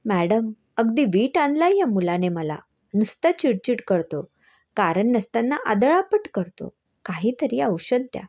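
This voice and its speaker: A woman's voice: on the high side, very animated, measured pace, darkish tone, exaggerated voice. Woman playing a frustrated mother in her thirties. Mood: exasperated. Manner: complaining, listing things off. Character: frustrated thirty-five-year-old mother complaining about her son.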